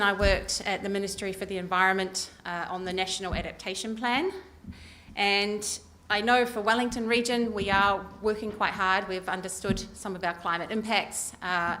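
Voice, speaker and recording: A woman's voice: medium pitch, fairly even intonation, moderate pace, a bit bright. Brief: middle-aged New Zealand woman, earnest candidate speech, plenty of ums, faint room hum. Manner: earnest, many ums and uhs. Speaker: council election candidate. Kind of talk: campaign speech. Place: community hall meeting room.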